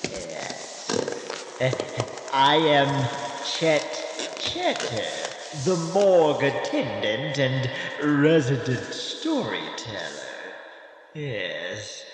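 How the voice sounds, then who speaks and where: A man playing a creepy, hospitable morgue attendant who tells horror tales in a halting, theatrical audio drama style. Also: medium pitch, very animated. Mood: eerie welcoming. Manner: halting, theatrical, swooping delivery. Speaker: host storyteller of horror anthology. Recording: cavernous reverberant echo, faint noise.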